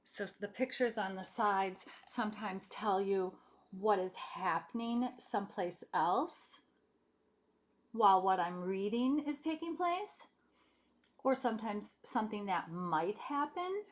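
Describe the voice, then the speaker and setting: A woman reads a picture book aloud to children. Her pitch moves in an animated way and her pace is leisurely. She speaks directly to young listeners, explaining and asking them questions. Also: a little slow. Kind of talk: read-aloud storytelling with chatty asides.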